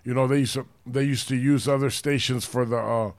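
A middle-aged man from Los Angeles with a deep, loud voice, telling blunt street stories full of swearing in a casual chat. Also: steady tone, fairly quick. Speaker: podcast co-host.

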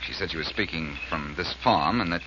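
An actor playing a brisk, determined young detective hero in 1940s radio style. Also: male voice, low voice, natural voice. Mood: earnest, puzzled. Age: young adult. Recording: muffled, noisy old radio recording.

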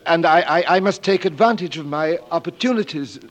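An actor in a 1940s radio drama playing a dignified, deferential English manservant, speaking formally and politely with some hesitation in an English accent. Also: male voice, a little high, brisk, highs cut off, lightly acted. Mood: earnest.

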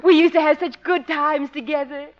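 Actress as high-voiced, cooing sweetheart. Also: female voice, fairly even intonation, moderate pace, natural voice. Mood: dreamy, fond. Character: sweet, flirtatious lady sweetheart, a dancing teacher. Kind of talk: 1950s radio sitcom acting. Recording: dull vintage radio recording.

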